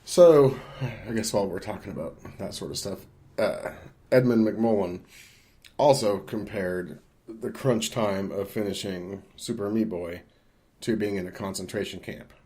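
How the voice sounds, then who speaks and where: A man's voice: fairly low pitch, unhurried, dark tone. A man co-hosting a podcast, discussing film casually in a hesitant, rambling, conversational way. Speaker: podcast co-host.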